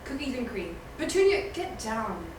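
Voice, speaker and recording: Echoey distant room recording, woman playing whiny heartbroken teen girl, high swooping voice, emphatic, table read drama. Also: sing-song, normal speed. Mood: sulky. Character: dramatic heartbroken sixteen-year-old high school girl. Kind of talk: screenplay table read acting. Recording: very echoey room, distant mic, faint noise.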